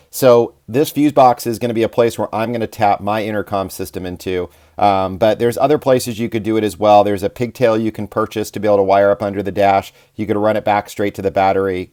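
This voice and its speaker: A man's voice: fairly low pitch, a little mellow. A man hosting a casual DIY tutorial, explaining each step informally to viewers as he works. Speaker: DIY off-road channel host. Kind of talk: casual hands-on install tutorial.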